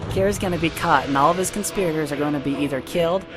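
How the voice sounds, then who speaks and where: A man's voice: a little high, rapid-fire, lightly acted. A man playing a smug, contradicting twelve-year-old boy in a comedic cartoon parody. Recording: loud music behind.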